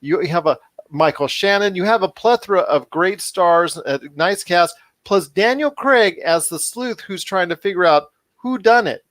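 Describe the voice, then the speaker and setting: A man's voice: high-pitched, normal speed, fairly bright tone, no echo, clean studio sound. A man hosting a podcast in an upbeat, chatty style, wordy and given to repeating himself. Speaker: podcast host.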